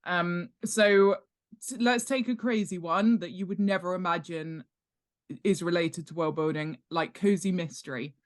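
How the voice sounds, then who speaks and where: A woman's voice: fairly low pitch, unhurried. A woman chatting casually as a guest expert in an interview, in a chatty, jokey and emphatic way. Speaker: guest author and world-building expert. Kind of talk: casual podcast interview chat.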